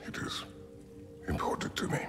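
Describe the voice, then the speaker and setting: An actor playing a stern, weary warrior father in a video game, curt and solemn in a deep gravelly voice. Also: normal speed, a bit bright, in a whisper, faint music behind, lightly acted.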